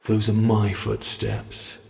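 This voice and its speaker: A man playing a menacing monster in an ASMR roleplay, taunting captives with theatrical, deliberate mock politeness. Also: fairly low pitch, monotone, creaky, heavily acted. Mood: teasing menace.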